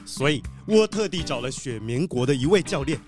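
Man acting a lively, high-pitched show-off animal diplomat. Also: fairly quick, music in the background, natural voice. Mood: confiding. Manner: chatty, exclamatory, showy. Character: boastful, face-saving animal diplomat and sports champion, cheerful adult male. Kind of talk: children's detective audio drama.

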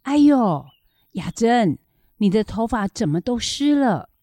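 A woman playing an elderly grandmother in a children's radio drama, caring, fussing and quick to chide. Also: a little high, very animated, warm tone, no echo, studio quality. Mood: fussing, scolding. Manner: fussing, chiding.

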